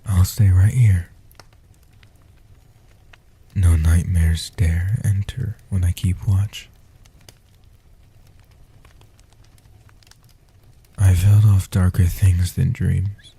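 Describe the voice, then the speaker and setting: A man voicing a gentle old guardian vampire in a soft-spoken comfort roleplay, slow and soothing, with a husky, half-whispered delivery. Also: sits low, little pitch movement, heavily acted. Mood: soothing, protective.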